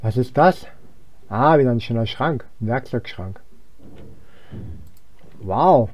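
A man's voice: mid-range voice, expressive, slow, highs cut off. A young man giving casual gameplay commentary, rambling and thinking aloud as he plays. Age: young adult. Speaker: let's player.

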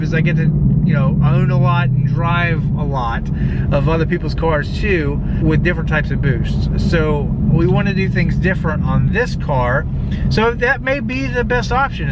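A man in his thirties chatting casually to a dashboard camera from inside his car as a car vlogger, rambling with lots of fillers and saying he is losing his voice. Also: a little high. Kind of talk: casual talk to camera while driving. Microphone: camera mounted on the dashboard. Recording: dull distant car-cabin audio with loud road noise.

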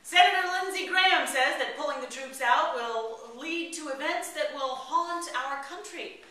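A middle-aged woman reading satirical news headlines aloud from a script, with deliberate, expressive delivery. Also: high-pitched. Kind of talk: mock newscast read aloud from script at rehearsal. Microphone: far camera. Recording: echoey room, distant camera mic, faint noise. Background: small group of colleagues in the room.

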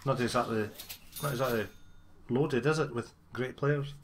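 A man's voice: medium pitch, steady tone, normal speed. A man talks casually over a video game in a mid-range voice. He grumbles, swears and leans on sarcasm as he goes. There is some faint noise and clicking behind him. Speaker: gamer making a video. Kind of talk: casual gameplay commentary.

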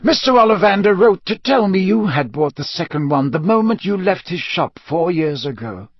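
A man voicing a weary, wise old wizard headmaster with a slow, solemn, measured delivery. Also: sits high, sing-song, dry recording, dull audio, voice pushed up, full character voice. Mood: explaining.

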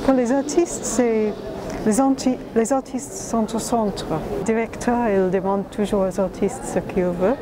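An older woman speaking quietly and haltingly at an art fair, heard from a distance. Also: mid-range voice, fairly even intonation. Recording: noisy hall, distant from mic.

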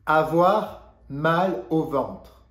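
A man in his forties from France with a stuffy nose from a cold, teaching a language lesson to camera slowly and deliberately. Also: fairly high pitch, fairly bright tone, roomy sound. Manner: slow, deliberate teaching delivery. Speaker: French teacher. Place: living room indoors. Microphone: lapel mic.

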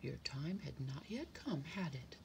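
Calm, wise mother-like dove played by a woman, cooing gently with a lilting storybook delivery. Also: lowish voice, measured pace, a little hiss or hum. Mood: reassuring. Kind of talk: children's storybook character voice.

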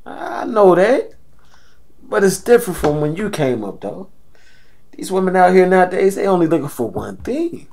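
A man's voice: high voice, very animated, moderate pace, vocal fry, a little mellow, exaggerated voice. A man playing a sheepish, deferential American grandson in his late thirties, hesitant and defensive in an audio drama.

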